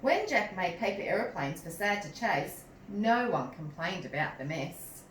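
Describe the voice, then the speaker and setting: A woman reads a children's story aloud like a teacher, slowly and with lots of expressive swing. She speaks to young listeners in a measured, animated manner. The recording is roomy, picked up at a distance from the microphone. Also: fairly low pitch. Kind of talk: expressive picture-book read-aloud with asides to young listeners. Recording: roomy echo, distant mic, some noise.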